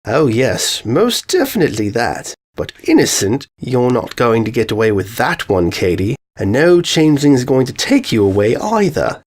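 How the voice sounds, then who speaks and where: Flirty pony prince husband, mock-romantic, as a man voices him; animated pitch. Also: moderate pace, few pauses.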